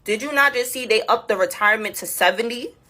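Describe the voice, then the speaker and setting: A woman's voice: a little high, quick pace, pushed and bright. A young woman on a casual rant, defensive and exasperated as she argues back.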